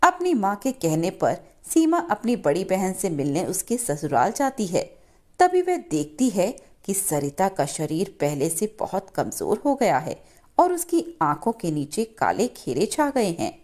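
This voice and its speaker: A woman's voice: medium pitch, wildly swooping pitch, measured pace, darkish tone. A woman narrating a scripted moral story as a storyteller.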